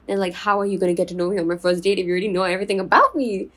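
A young woman from Singapore hosting her own podcast in a chatty, confiding way, enunciating clearly with a soothing voice. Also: mid-range voice, racing, a bit bright. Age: 20s. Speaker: podcast host. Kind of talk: casual solo podcast chat.

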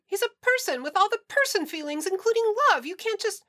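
Woman as a piercingly high, defiant little girl. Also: expressive, fairly quick, full character voice. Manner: yelling, defiant, earnest. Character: fierce, stubborn, outraged little girl. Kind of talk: audio fiction acting.

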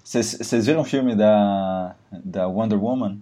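A man's voice: fairly low pitch, slow pace, crowd noise. A man hosting a casual podcast chat, opinionated and steering the talk with questions. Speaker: podcast host.

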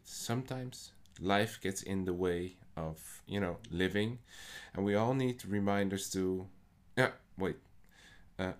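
A man with a Dutch accent, hosting a poetry podcast in a measured, scripted way. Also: low voice. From Netherlands. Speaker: podcast host. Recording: faint music behind the voice.

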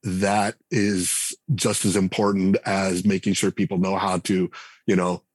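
Low husky man, dry close sound. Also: level tone, a little slow, no echo. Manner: reflective, hesitant with frequent fillers. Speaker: game designer and writer, podcast guest. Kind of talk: casual interview talk.

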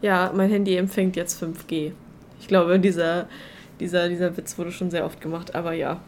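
A woman's voice: fairly low pitch, flat delivery, normal speed, slight background noise. A young woman co-hosting a podcast in casual chat, with a joking, ironic manner. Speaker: podcast co-host.

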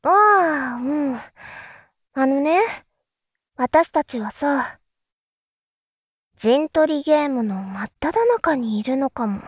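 A woman playing a calm, enigmatic elder sister in an audio drama, speaking in a deliberate, philosophical, teacherly way. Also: sits high, dry recording, clean studio sound. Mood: calm explaining. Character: calm, enigmatic elder sister musing darkly about world affairs. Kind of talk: Japanese audio drama acting.

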